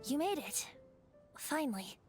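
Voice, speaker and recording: A woman's voice: sits high, very animated, unhurried, over music. A woman voicing a cheerful boyish male bard, an ancient wind spirit, in a playful, lilting, poetic game performance. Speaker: video game character. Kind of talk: theatrical game voice acting with storytelling.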